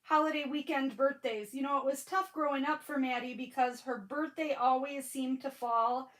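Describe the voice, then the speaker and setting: A woman's voice: sits high, steady tone, normal speed, fairly bright tone, poor audio. A woman in her forties with a Michigan accent and a stuffy nose, chatting casually on a live stream and greeting viewers by name. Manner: frequent fillers and restarts. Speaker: livestream crafting host.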